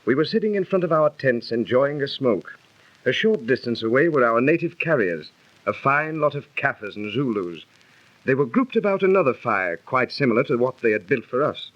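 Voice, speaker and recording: An actor playing a gentlemanly, superstitious British army officer telling stories politely in 1930s radio style. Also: male voice, medium pitch, big pitch swings, moderate pace, close to an everyday voice. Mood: reminiscing. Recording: dull lo-fi 1930s radio recording.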